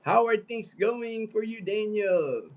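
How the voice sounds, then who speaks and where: Higher-voiced American man, casual livestreamer. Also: lively intonation, slow pace, darkish tone. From United States. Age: late 50s. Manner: rambling, conversational, repeats phrases. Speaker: livestream host. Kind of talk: casual livestream chat, reading out viewer comments.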